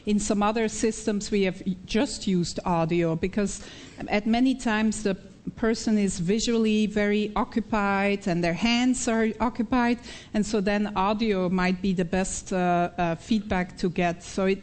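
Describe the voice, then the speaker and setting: A middle-aged woman with a Belgian accent takes questions after a lecture. She thinks aloud as she talks, pausing on fillers and often breaking into short laughs, and her voice sits at an ordinary middle pitch. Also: darkish tone. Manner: thoughtful, hesitant with many fillers, laughs often. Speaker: invited speaker, researcher. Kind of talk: answering audience questions after a talk.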